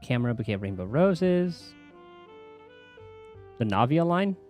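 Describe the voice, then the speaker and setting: A man's voice: mid-range voice, big pitch swings, measured pace, darkish tone, faint music behind. A man streaming a game, chatting casually and reading the game's text aloud.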